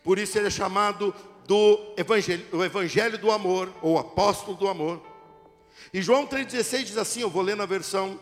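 A middle-aged man preaching a sermon to his church, dramatic, rising to shouts at the peaks and joking with the congregation. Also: sits high, a little fast. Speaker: pastor. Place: church sanctuary. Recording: echoey church hall with music.

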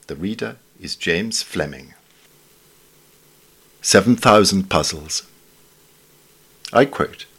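A man giving a measured, formal scripted reading of witty literary essays. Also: medium pitch, fairly bright tone, breathy edge. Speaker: reader of newspaper essays.

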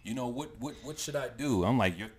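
A man in his thirties chatting casually and haltingly, often repeating his phrases as he goes. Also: medium pitch, expressive, husky. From United States. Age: 30s. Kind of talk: casual chat.

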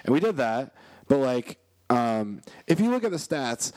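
A man co-hosting a casual chat, rambling and restarting his sentences, with a voice going hoarse. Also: on the low side, a little mellow, no room sound. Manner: rambling, self-interrupting, laughs often. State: losing his voice. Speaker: podcast co-host.

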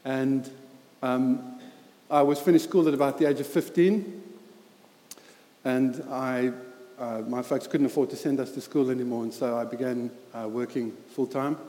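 A man in his thirties with a South African accent, preaching in a church hall. He talks in an earnest, halting way with many fillers and restarts, and his voice is mellow and mid-pitched. The sound is roomy, with a long hall reverb. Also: steady tone. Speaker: lay preacher. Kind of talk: sermon with personal story.

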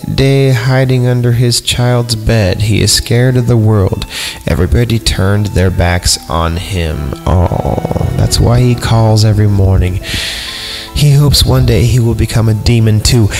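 A man hosting a horror podcast, reading creepy stories aloud in an irreverent, halting way and stumbling over the text. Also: medium pitch. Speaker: podcast host and announcer. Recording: long reverb on voice, music underneath. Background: eerie horror film score.

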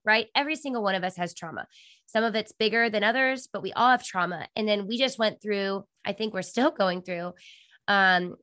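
A woman's voice: mid-range voice, a bit bright, dry recording, clean studio sound. A woman hosting a podcast interview over a video call, talking in a chatty way with lots of fillers, her voice nasal from a cold. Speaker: podcast host. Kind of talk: casual interview chat.

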